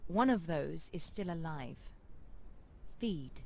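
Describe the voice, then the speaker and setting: A woman playing an ancient noble vampire in a game, imperious and mocking, with a deliberate, sardonic delivery. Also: low-pitched, animated, exaggerated voice. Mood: exasperated scorn. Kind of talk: dramatic RPG voice acting.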